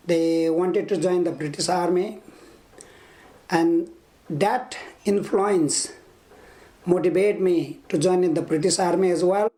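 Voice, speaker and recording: A man in his fifties from Nepal with a Nepali accent, giving an oral history interview in a measured, halting way. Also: high voice, darkish tone, poor audio. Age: 50s. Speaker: interviewee, army veteran. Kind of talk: oral history interview, recounting own life.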